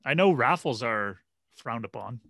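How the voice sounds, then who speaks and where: A man's voice: medium pitch, lively intonation, a little slow, fairly bright tone, dry recording, clean studio sound. A man in his forties from the Netherlands with a Dutch accent, co-hosting a casual podcast chat over a video call and telling stories with lots of restarts and laughs. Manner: chatty, restarting sentences, laughing storyteller. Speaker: podcast co-host. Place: home room, video call. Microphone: headphones and desk mic.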